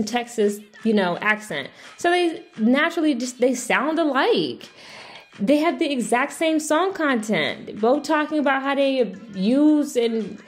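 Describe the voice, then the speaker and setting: A woman with a fairly high voice talks casually and bluntly, giving her opinions as a video commentator. She sounds exasperated and swears freely as she goes.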